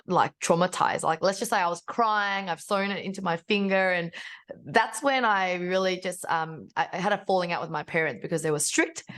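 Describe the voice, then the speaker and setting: A woman's voice: fairly low pitch, a bit bright. Upbeat woman raised in Australia. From Vietnam, raised in Australia. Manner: upbeat, chatty storyteller. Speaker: guest, business founder. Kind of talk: podcast interview.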